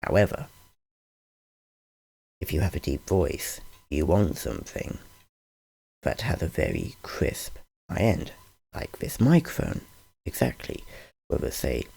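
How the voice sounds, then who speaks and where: A deep-voiced man talks slowly through a casual tutorial, his tone dark and lively in pitch. He often stops to correct himself with dry humor, recorded close on a studio condenser microphone. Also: gravelly, husky. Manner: rambling, self-correcting, wry. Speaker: channel host explaining audio gear. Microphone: large-diaphragm studio condenser.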